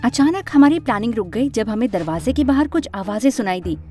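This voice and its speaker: High-pitched woman telling a dramatic story. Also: expressive, brisk, dark tone. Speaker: story narrator. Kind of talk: scripted first-person animated story narration. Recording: music under the voice.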